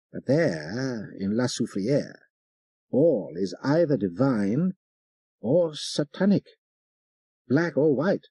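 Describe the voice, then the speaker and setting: A man voicing a fervent French missionary priest telling his tale with emphatic, ironic pauses, as in a dramatic audiobook. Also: mid-range voice, dark tone, husky, no echo, heavily acted. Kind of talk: dramatic first-person storytelling in an audiobook.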